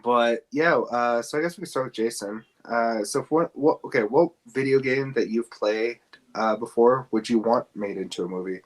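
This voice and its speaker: A man's voice: mid-range voice, normal speed. A man hosting a casual, joking group podcast, talking in a hesitant, rambling way. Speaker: podcast host.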